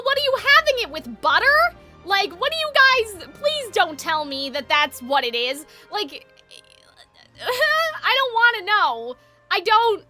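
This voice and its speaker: A woman giving casual live gaming commentary in an animated, rambling, opinionated way. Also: high voice. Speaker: game streamer. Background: game music.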